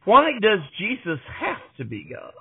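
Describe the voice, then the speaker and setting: A man's voice: high-pitched, wildly swooping pitch, measured pace, vocal fry, husky, phone-quality sound. A man hosting a podcast, presenting in a conversational style. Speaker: podcast host.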